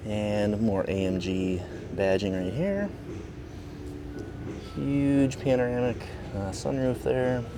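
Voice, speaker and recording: Car salesman, male, deep gravelly voice, relaxed walkaround delivery, faint noise behind. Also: slow pace. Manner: relaxed, plain, with frequent uh fillers. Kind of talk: casual dealership walkaround video. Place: at and inside a car at a dealership.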